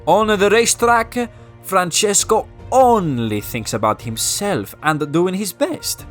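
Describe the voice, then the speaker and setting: A man's voice: high voice, animated, takes it slowly, fairly bright tone, soft background music, voice pushed up, full character voice. A man voicing a vain, boastful Italian race car in a children's storybook, with an Italian accent and a pompous manner. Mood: self-satisfied. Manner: pompous, refers to himself in third person.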